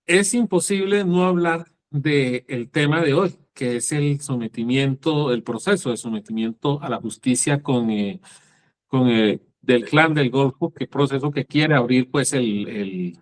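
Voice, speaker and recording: A Colombian man from Nariño, raised in Bogotá, with a Bogotá accent, hosting a political interview and asking long, hesitant questions. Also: mid-range voice. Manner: hesitant, many restarts and fillers. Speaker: interviewer, host.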